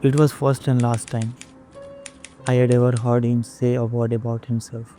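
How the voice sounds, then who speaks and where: An actor playing an uneasy, watchful night manager in an audio drama, with measured, brooding delivery. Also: male voice, mid-range voice, little pitch movement, mellow, faint music behind, natural voice. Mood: reflective. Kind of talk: audio drama narration and dialogue.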